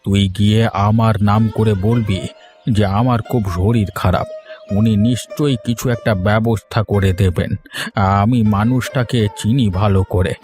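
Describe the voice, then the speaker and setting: A man voicing a sick, gentle old village grandfather in a children's cartoon story. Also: fairly low pitch, steady tone, moderate pace, close to an everyday voice. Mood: gentle, caring. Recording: music playing under the voice.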